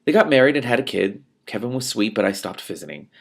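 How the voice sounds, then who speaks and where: A man's voice: medium pitch, fast, vocal fry. A man reading a novel aloud expressively, breaking off now and then to add spontaneous comments of his own. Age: adult. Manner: animated, reacts aloud to the text and breaks off to comment. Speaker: reader and commentator of a book read-along show.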